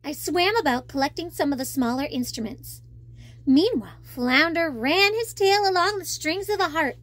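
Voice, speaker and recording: A woman's voice: high-pitched, animated, moderate pace, fairly bright tone. A woman reading a storybook expressively to children as a storyteller.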